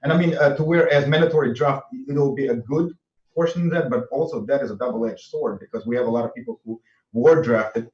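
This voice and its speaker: A man's voice: medium pitch, a little mellow, highs cut off. Distant Russian-accented man, roomy sound. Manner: halting, repeats words while speaking. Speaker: military veteran panelist. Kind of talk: panel discussion.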